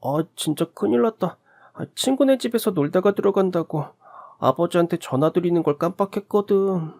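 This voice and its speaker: A friendly, eager young boy with a high, lifted voice, as a male narrator puts him on. He sounds polite and keen to make a new friend, like a storybook neighbor kid. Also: normal speed, creaky, darkish tone. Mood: worried.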